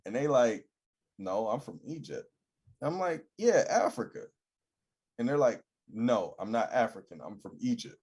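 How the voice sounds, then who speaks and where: A man's voice: mid-range voice, unhurried, breathy edge. A young man co-hosting a podcast, chatting casually and acting out the people in his stories. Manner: animated storyteller, acts out quoted people. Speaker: podcast co-host. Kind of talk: casual chat.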